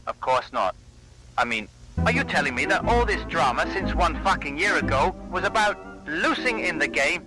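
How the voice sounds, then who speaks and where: A man's voice: on the high side, animated, moderate pace, music in the background, natural voice, low-quality recording. A man playing an irritated, dismissive, foul-mouthed Japanese company boss, sarcastic and contemptuous, in an analog horror video.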